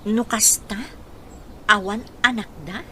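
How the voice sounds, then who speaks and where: A woman's voice: medium pitch, steady tone, slow pace, pushed and bright, a little hiss or hum. A woman playing a humble, struggling single mother in a radio drama, polite and earnest. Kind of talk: Philippine radio drama acting.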